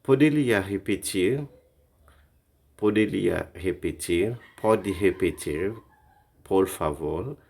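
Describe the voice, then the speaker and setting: Man, low voice, language teacher, repeats each phrase slowly. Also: vocal fry, darkish tone, breathy edge. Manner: slow, repeats phrases carefully for practice. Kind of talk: phrase lesson for learners.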